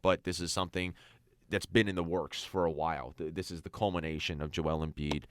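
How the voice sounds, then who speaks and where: A man's voice: low voice, fairly even intonation, brisk, a little hiss or hum. A young man co-hosting a sports radio show, chatting casually and giving his opinions. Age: young adult.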